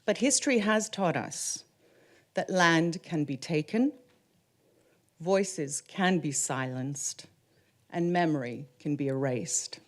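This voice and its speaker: A woman from Canada whose first language is Ukrainian, giving a formal conference presentation as an artist in a measured, solemn way that slows down on key words. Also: low-pitched. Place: conference room.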